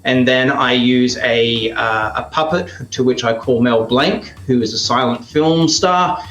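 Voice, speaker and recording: A man's voice: medium pitch, a little slow, fairly bright tone, soft background music. Man in a roomy, echoing space. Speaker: interview guest, radio play performer. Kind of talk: casual interview chat. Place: indoors at a heritage house.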